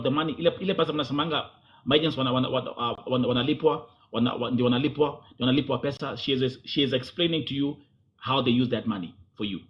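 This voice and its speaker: A man's voice: mid-range voice, dull audio. A man with a Kenyan accent hosting a confrontational interview, insistent and often repeating phrases as he presses his point. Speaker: host.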